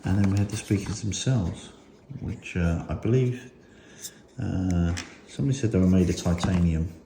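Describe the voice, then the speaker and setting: A man with a very low voice talks through a hands-on gear demonstration in a casual, unscripted way. He thinks aloud a little hesitantly. The recording is noticeably echoey, with faint noise underneath. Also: normal speed. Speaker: motorcycle gear reviewer and host. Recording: roomy echo with faint noise.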